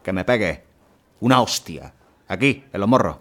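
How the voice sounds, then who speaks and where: Man playing a gruff reluctant helper. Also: fairly low pitch, animated, normal speed, a bit bright. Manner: gruff, swearing.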